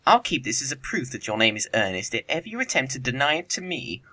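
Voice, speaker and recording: Male actor as young dandy, bright voice, lively swooping intonation, arch, teasing, languid wit, period comedy audio play. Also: medium pitch, quick pace, heavily acted. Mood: needling. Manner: arch, languid, epigrammatic, needling.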